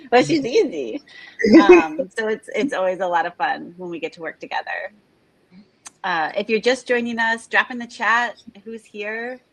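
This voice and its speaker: Chatty woman host, slightly raspy. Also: a little high, expressive, unhurried, rough recording. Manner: chatty, filler-heavy, says "like" often. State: slightly raspy voice from talking all morning. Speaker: host of live interview series. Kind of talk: casual live interview hosting. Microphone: video call.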